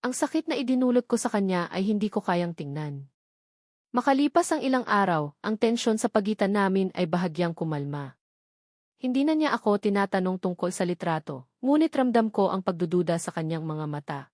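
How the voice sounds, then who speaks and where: A woman's voice: medium pitch, animated, brisk, a little mellow, no echo, clean studio sound. A woman reading a scripted first-person romantic drama as a storyteller. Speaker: story narrator.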